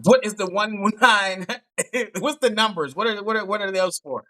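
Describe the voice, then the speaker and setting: Bright, playful man hosting a dating show. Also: sits high, normal speed. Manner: playful, teasing, laughs often.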